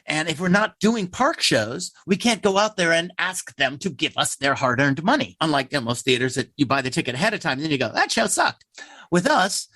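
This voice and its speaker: A man's voice: a little high, sing-song, a little fast, sharp tone, dry recording. A man speaking as a theater playwright in an interview, conversational and emphatic, with wry asides and acted-out imagined quotes. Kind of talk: animated conversational interview answers.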